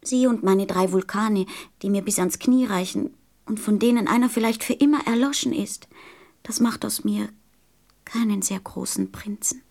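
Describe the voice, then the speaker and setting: A woman's voice: mid-range voice, normal speed, creaky, darkish tone. An earnest little prince in a storybook radio play. The young boy sounds lonely and curious, putting things simply and directly in a childlike way. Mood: sad, disillusioned.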